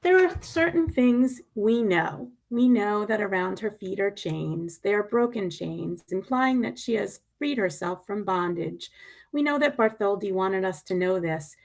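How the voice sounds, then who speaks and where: A woman reading a picture book aloud expressively, with deliberate dramatic emphasis and pointed pauses. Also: medium pitch, lively intonation, vocal fry, darkish tone, low-quality recording.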